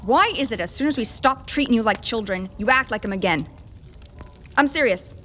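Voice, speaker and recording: A woman's voice: on the high side, lively intonation, a little fast, bright, forceful tone, a little hiss or hum. A woman playing a tough, protective rogue leader in a fantasy audio drama, blunt, scolding and sardonic. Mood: exasperated.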